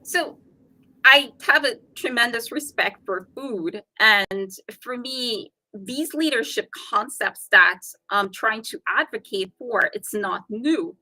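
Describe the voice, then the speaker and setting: A woman with a softened Trinidadian accent, a guest talking earnestly and explaining her ideas in a casual interview chat. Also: fairly high pitch, lively intonation, takes it slowly, dry recording, poor audio.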